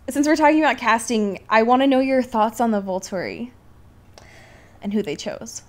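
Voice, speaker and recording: A woman's voice: fairly high pitch, animated, normal speed. A woman co-hosting a podcast, explaining things in casual, informal chat with some swearing. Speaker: podcast co-host. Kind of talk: casual film discussion chat.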